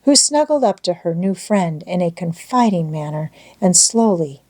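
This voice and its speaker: A woman narrates a children's story from a script. Her voice sits slightly low for a woman, in the style of an audiobook reading. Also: lively intonation, normal speed, warm tone.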